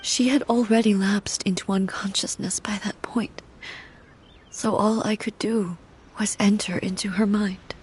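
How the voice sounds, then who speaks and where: A woman voicing a solemn, grieving divine ruler in a game, speaking softly and measuredly, often close to a whisper. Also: medium pitch, steady tone, a little slow, slight background noise, close to an everyday voice. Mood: sorrowful. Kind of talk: game cutscene voice acting.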